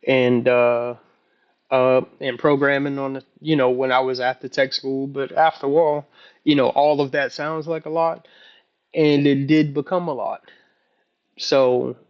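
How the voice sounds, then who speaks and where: Man with flat pitch, halting delivery. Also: clean tone, dull audio. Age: early 30s. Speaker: vlogger. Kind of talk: casual, personal video journal.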